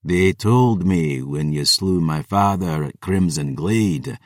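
Man acting a deep, menacing undead warlord, echoing. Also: takes it slowly, studio quality. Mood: cold taunting. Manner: archaic, taunting, imperious. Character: cold mocking male undead warlord, proud and menacing. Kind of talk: dramatic audiobook fantasy dialogue. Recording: roomy echo, distant from mic.

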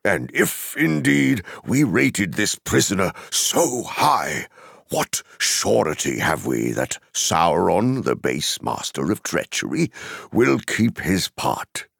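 A man voicing a stern, defiant wizard in a fantasy story, declaiming with forceful, indignant authority. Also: low-pitched, big pitch swings, takes it slowly, creaky, breathy edge, dry recording, studio quality, voice pushed up, full character voice. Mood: stern challenge.

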